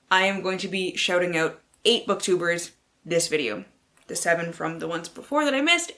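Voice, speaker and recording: A woman's voice: fairly low pitch, a bit bright. Theatrical, sweary young-sounding woman book vlogger. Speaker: book vlogger, channel host. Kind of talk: casual chat to camera with playful dramatic book-blurb readings.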